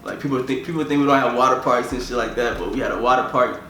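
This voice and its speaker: A man's voice: medium pitch, fast, pushed and bright, echoey room, slight background noise. A young man from Tanzania, a musician talking casually in an interview and filling nearly every phrase with "like".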